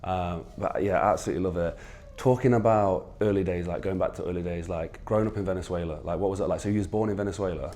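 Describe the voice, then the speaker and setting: A man's voice: deep voice, fairly even intonation, quick pace, dark tone. A man interviewing a guest in a casual, filler-heavy way. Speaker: interviewer, show co-host.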